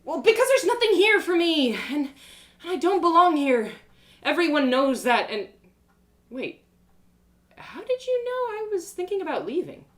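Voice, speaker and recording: An eager, naive young farm woman from a storybook, played by a woman reader. Her voice jumps high and swoops widely, with breathless stammers and a yearning for adventure. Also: big pitch swings, normal speed, pauses often. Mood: flustered.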